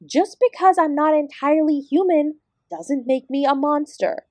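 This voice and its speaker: A woman acts as an immortal celestial dancer and guru in an audio roleplay. Her voice is high and closely recorded with no room sound. She is sardonic and fiercely independent, addressing the listener with exasperated, emphatic bite. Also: moderate pace, darkish tone, dry recording, close to an everyday voice. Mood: indignant.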